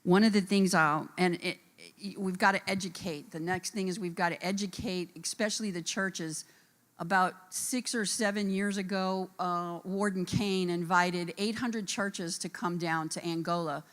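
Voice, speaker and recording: A woman's voice: lowish voice, steady tone, moderate pace. A woman on a conference panel who works helping incarcerated women, speaking earnestly and addressing the audience directly in a conference room. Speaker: conference panelist, reentry support worker.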